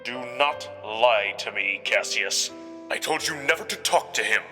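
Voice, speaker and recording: A man voicing a hot-tempered, protective wolf prince in a forceful, indignant manner. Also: on the low side, expressive, unhurried, big-room sound, faint music behind, exaggerated voice. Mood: angry.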